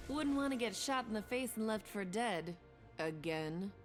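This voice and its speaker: A woman's voice: medium pitch, animated, normal speed, soft background music, lightly acted. A woman voicing a swaggering, vengeful bounty hunter in a video game, cocky, sardonic and flirtatious.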